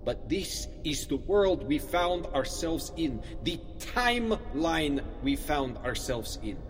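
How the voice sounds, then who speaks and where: An actor playing a defiant, calculating Russian commander with menacing, idealistic conviction in an audio drama. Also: male voice, fairly high pitch, sing-song, slow pace, big-room sound, music in the background. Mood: angry.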